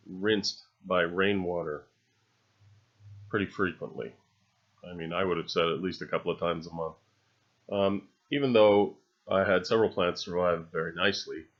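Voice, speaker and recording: Man, lowish voice, rambling off-the-cuff narration, halting phrases, dry understated wit, slightly muffled lo-fi recording. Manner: halting, musing delivery with long trailing phrases. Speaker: video narrator. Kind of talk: unscripted, rambling voice-over monologue. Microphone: separately recorded voice-over. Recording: dull, lo-fi voice recording.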